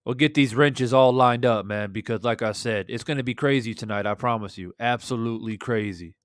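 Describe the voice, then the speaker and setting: A man in his thirties with a hoarse voice, hosting a casual boxing livestream from a small room at home, shouting out viewers in the chat. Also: mid-range voice, fairly quick, no room sound, studio quality. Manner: laid-back, shouting out chat viewers, swears freely. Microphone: studio desk mic with headphones.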